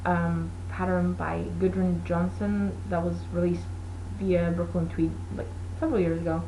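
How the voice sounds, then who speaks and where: A woman's voice: fairly low pitch, flat delivery, moderate pace, warm tone, slight background noise, poor audio. A woman whose first language is Portuguese, hosting a knitting show in a casual, rambling chat with many fillers. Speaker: knitting podcast host.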